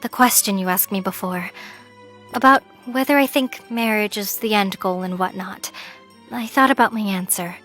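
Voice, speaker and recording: A woman's voice: mid-range voice, normal speed, fairly bright tone, faint music behind, natural voice. A woman playing a composed, loyal young female employee in a manga story dub, polite, matter-of-fact and encouraging. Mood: sincere.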